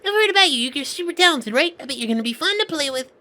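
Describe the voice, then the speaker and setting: A woman's voice: high-pitched, animated, very fast, bright, forceful tone. A woman voicing an eager, playful child warden for a fan-made game series, chirpy and forward.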